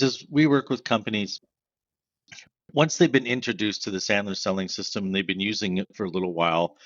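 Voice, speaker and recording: A man's voice: on the low side, no echo. Dry-sounding man explaining sales methods. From Canada. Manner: explains step by step with examples, self-described loud fast talker. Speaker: sales trainer guest. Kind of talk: podcast interview answers. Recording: dry, slightly dull sound.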